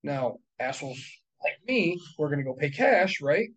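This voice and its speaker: A man in his thirties with a slightly high voice talks through rental math in a casual chat. He is blunt and profane and reckons the numbers out loud as he goes. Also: big pitch swings, moderate pace, dull audio. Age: 30s. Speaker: real estate investor.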